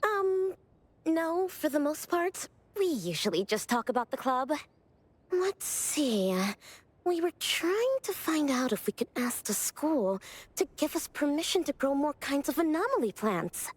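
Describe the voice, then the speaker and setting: A woman's voice: high voice, sing-song, unhurried, no room sound. A woman voicing a youthful, thoughtful schoolgirl in an anime game, talking a little hesitantly.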